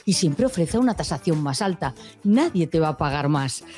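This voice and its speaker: Female ad announcer; low, mellow, persuasive, over music. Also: very animated, moderate pace. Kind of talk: scripted ad read.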